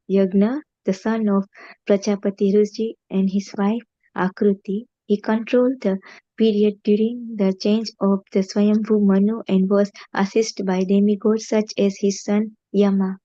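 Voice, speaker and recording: Hesitant woman reading haltingly. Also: medium pitch, level tone, dark tone, no echo. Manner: hesitant reading, stumbling over names. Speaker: student reader. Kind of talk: reading a translation aloud. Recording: dull, lo-fi sound.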